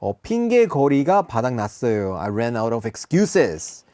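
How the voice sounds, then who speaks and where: A man hosting a casual radio show and teaching expressions, laughing often. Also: mid-range voice. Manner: fast, animated, switching between English and Korean, laughs often. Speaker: radio DJ and Korean language teacher. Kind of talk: casual radio chat with language lesson.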